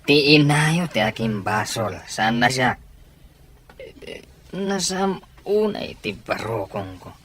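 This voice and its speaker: A man's voice: mid-range voice, expressive, takes it slowly, pauses often, fairly bright tone, slight background noise. A man playing a middle-aged, drunken and ailing Filipino father in a radio drama, groaning and slurring his words. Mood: drunken, defensive.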